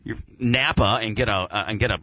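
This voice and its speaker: A man's voice: on the low side, fairly quick, bright, forceful tone, no room sound, rough recording. Slangy man hosting radio, close dry sound. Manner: slangy, informal, opinionated. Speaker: radio show host. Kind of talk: casual radio banter about auto racing.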